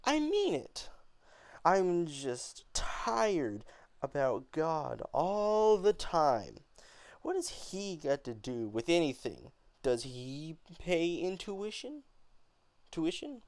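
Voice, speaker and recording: An angry, defiant young woman, as played by a man in an amateur stage-play reading. The pitch is raised and the words come out emphatic and halting, with pauses and stumbles. The sound is clean and dry. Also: sing-song, darkish tone, no room sound, exaggerated voice. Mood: angry, fed up.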